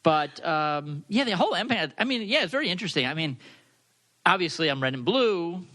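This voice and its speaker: A young man co-hosting a podcast, quick and loose, his pitch bouncing up and down as he jokes. He hedges a lot and fills his sentences with "I mean" and "you know.". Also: sing-song, bright, forceful tone. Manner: joking, hesitant, many "I mean". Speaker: podcast co-host, husband. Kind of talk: casual podcast chat.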